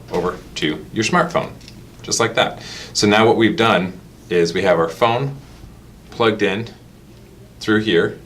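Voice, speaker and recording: A man's voice: low voice, a bit bright, a little hiss or hum. A man giving a casual instructional product tutorial, explaining things step by step. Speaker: product demonstrator.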